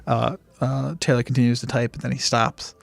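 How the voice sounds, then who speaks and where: A man's voice: mid-range voice, level tone, a little fast, vocal fry, no echo. Guy running a tabletop game, mid voice, casual improvised narration, really dry recording. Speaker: game master.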